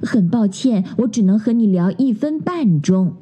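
A woman voicing a gentle, caring mother bear in a children's story, speaking low, soothing and tender. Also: animated, normal speed, slight background noise, natural voice.

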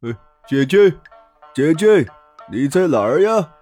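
A man's voice: fairly high pitch, big pitch swings, slow pace, a little mellow, soft background music. Man playing a blustering oafish pig demon. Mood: wheedling, amorous. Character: boastful, oafish male pig demon with a rake. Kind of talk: dramatized storybook character acting.

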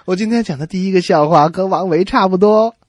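A man's voice: sits high, brisk, no room sound. A man co-hosting a scripted comedy radio show, telling jokes and bantering as the exasperated straight man. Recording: music bed behind voice.

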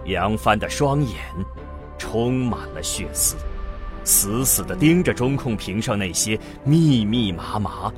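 A man's voice: fairly low pitch, lively intonation, a little slow. A man narrating a science fiction radio drama in a dramatic scripted style. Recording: music under the narration.

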